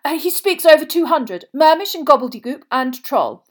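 A woman's voice: high voice, expressive, moderate pace, pitched above the performer's own voice. A woman voicing a pompous, fawning young male wizard, breathless and eager to impress, in an audiobook. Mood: excited, fawning.

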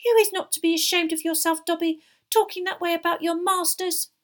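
A woman voicing a small, indignant female house elf servant for a storybook, squeaky and shrill, speaking in servile broken grammar. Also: steady tone, fairly quick. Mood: indignant, furious. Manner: wailing, broken servile grammar, third-person speech.

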